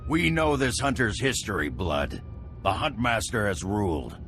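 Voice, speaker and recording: A wry gravelly hunt official. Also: male voice, fairly low pitch, sing-song, unhurried, a bit bright, faint music behind, full character voice.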